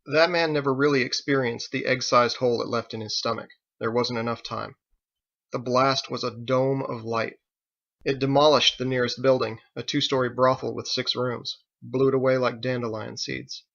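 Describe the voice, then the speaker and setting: A man's voice: mid-range voice, fairly even intonation, moderate pace, gravelly, fairly bright tone, highs cut off. A man reading his own novel aloud as dramatic audiobook narration.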